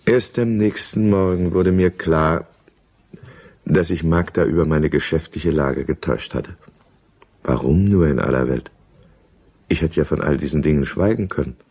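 An actor playing a self-justifying married businessman turned drinker, confessing in a brooding, deliberate way in a radio drama. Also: very deep voice, darkish tone, full character voice. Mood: rueful, confessional. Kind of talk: confessional first-person radio drama narration.

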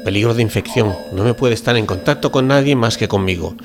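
A man as a low-voiced, courteous ship's doctor. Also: fast talker, music in the background, natural voice. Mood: insistent, anxious. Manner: formal, polite, explanatory. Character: courteous, fussy ship's doctor, deferential and apologetic. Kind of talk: amateur radio drama reading.

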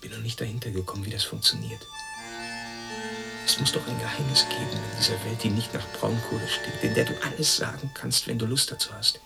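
A lonely, embittered East German in a radio drama. The voice is lowish and very gravelly, brooding, with music clearly behind it. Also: male voice, steady tone, a little fast. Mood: bitter, brooding. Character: bitter, lonely East German man in the West. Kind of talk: German radio play acting.

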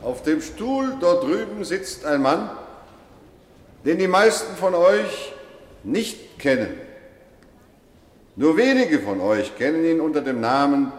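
Ein Schauspieler spielt einen feierlichen, bewegten Lehrer mittleren Alters, der in einem Hörspiel gemessen zu seinen Schülern spricht. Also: male voice, on the high side, very animated. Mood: solemn, moved. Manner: deliberate, measured oratory. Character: male schoolteacher, solemn, deeply moved, addressing pupils. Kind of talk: radio play speech to an assembly. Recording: echoey hall with long reverb.

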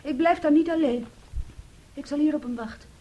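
An actress playing a defiant, highly strung and insistent young daughter in a radio play. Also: female voice, normal speed, warm tone, muffled recording, lightly acted. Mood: sullen, insistent. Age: young adult.

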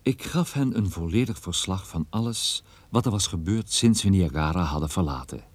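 An actor playing a private detective who narrates his own case in a measured first-person radio drama style. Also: low voice, expressive, no room sound. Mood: measured, recounting. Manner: measured, recounting.

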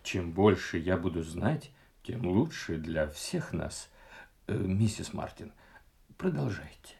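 Brief: calm radio-play inspector, very deep, slow, gravelly. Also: male voice, exaggerated voice. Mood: measured. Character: calm, patient middle-aged male police inspector. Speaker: police inspector.

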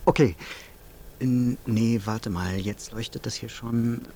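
A man's voice: mid-range voice, dark tone. Fumbling male interviewer, faint background noise. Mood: awkward, eager. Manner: informal, halting, slightly awkward. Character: fumbling, polite male podcast interviewer with old recorder. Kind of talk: naturalistic radio drama podcast interview.

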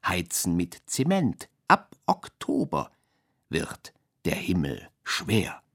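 A strange male voice of dreamlike radio poetry. He speaks extremely slowly and haltingly, swooping in pitch, with a husky, breathy tone. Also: wildly swooping pitch, fairly bright tone, exaggerated voice. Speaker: radio drama performer. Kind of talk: literary radio play recitation, surreal poetic prose.

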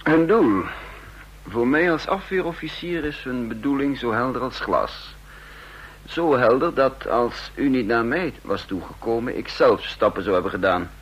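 A shrewd, dry spy chief. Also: male voice, medium pitch, measured pace, a bit bright, husky, rough recording. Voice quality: dry, self-assured, ironic. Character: shrewd male counterintelligence chief, cool. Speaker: narrator and lead character. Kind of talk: vintage radio drama acting.

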